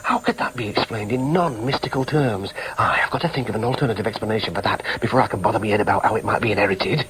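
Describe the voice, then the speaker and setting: A man's voice: on the low side, quick pace, few pauses, fairly bright tone, slight background noise, natural voice, poor audio. An actor in a radio drama playing a floundering, argumentative young man, the grown son, hesitant and defensive. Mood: pondering, unsure. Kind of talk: radio drama dialogue with muttered asides.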